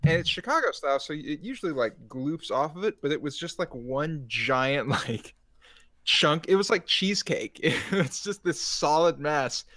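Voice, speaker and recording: A man's voice: a little high, animated, normal speed, bright, forceful tone, no room sound. A man chatting casually on a podcast in a rambling, jokey way. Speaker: podcast regular. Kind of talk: casual chat.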